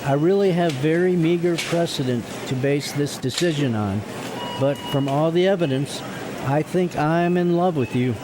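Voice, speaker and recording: A man's voice: a little high, normal speed, background noise, natural voice. A man acting in a radio drama as a good-natured, lovestruck young lawyer who stands up to his father. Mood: earnest, smitten.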